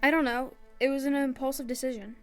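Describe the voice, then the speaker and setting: A woman playing a self-doubting, battle-worn young woman in an audio drama, with a halting, confessional manner. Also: high voice, fairly even intonation, faint music behind. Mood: wounded, confessional.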